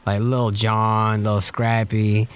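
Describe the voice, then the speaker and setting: A young man co-hosting a podcast, telling stories in relaxed, self-mocking casual chat. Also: lowish voice, slow pace, clear, resonant tone. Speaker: podcast co-host.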